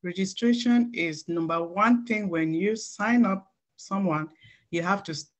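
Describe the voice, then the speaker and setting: A middle-aged woman giving an earnest, halting personal testimony before a training presentation. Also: medium pitch. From Cameroon.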